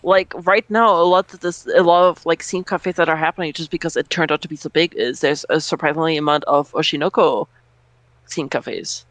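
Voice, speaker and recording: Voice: medium pitch, fairly even intonation, a little fast, a bit bright, no echo. A podcast co-host chatting casually, with an informal, teasing, sometimes sweary way of talking. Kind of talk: casual chat.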